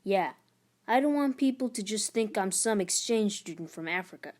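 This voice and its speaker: A proud middle-school boy, acted out by a woman in a storybook. He talks casually but defiantly, hungry for status and quick to dismiss others. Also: mid-range voice, animated, darkish tone, lightly acted. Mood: defensive pride.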